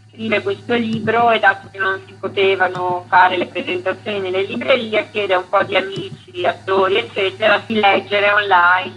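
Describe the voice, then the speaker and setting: A woman's voice: medium pitch, pushed and bright, slightly rough, rough recording. A woman, an actress and author, answering conversationally as a radio guest in an informal, explanatory way.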